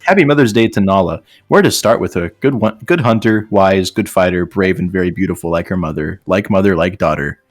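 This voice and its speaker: A man's voice: low voice, fairly quick, few pauses, soft background music. A man co-hosting a casual comedic reaction session, swearing freely and mocking what he reads out with sarcasm. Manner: profane, sarcastic. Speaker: co-host reacting to fan posts. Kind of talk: casual comedic banter, mocking read-outs.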